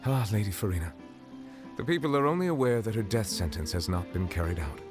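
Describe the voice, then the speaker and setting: A man playing a grave, deep-voiced dragon sovereign. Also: expressive, close to an everyday voice. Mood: solemn. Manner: formal, measured, solemn explaining. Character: ancient dragon sovereign in human form, grave and measured. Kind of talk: game character dialogue. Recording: game audio with music behind. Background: music.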